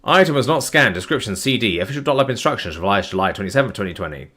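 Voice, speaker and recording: A man's voice: lowish voice, racing, breathy edge. Weary man reading file lists. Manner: flat, plodding reading of numbers with weary asides. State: tired, voice starting to go. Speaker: document narrator. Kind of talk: live-streamed reading of file listings.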